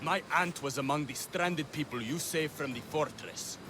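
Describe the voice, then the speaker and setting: A man's voice: on the high side, normal speed, music in the background, close to an everyday voice. An actor playing a proud, melodramatic, honour-obsessed Greek man in a video game cutscene, pompous and theatrical. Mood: grandly aggrieved.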